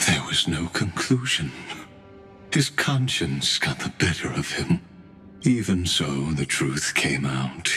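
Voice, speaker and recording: An actor playing a calm, detached dying scholar in a video game, speaking solemnly and wearily, with sighs. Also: male voice, rumbling low, heavily acted. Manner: solemn, weary, deliberate, sighing. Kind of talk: dramatic video game voice acting.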